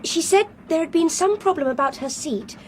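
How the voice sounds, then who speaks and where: A woman's voice: extremely high pitch, lively intonation, moderate pace. An actress playing an insistent, anxious young Englishwoman on a train in a radio drama. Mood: determined. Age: young adult. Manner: urgent, determined. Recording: noisy train ambience behind voice.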